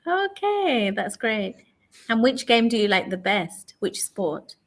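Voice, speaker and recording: Woman, 40s, online conversation tutor, bright high register, patient and encouraging. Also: big pitch swings, takes it slowly. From England. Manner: patient, encouraging, repeats the learner's answers back. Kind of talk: casual conversation lesson.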